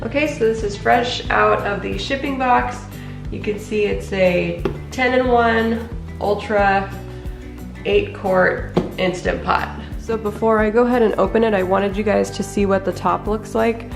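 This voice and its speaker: Casting note: excitable woman vlogger with a head cold, gravelly fry, recorded off-mic in an echoey kitchen. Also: mid-range voice, unhurried, far from the mic. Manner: chatty and excitable, narrating as she unpacks. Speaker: home cooking vlogger. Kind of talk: casual unboxing vlog. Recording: echoey room, off-mic, music underneath.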